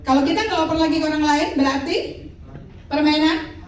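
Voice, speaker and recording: High-voiced woman preaching in an echoey hall. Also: fairly quick. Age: 50s. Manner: lively preaching with call-and-response. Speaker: pastor. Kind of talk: sermon. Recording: echoey hall, mic from far off.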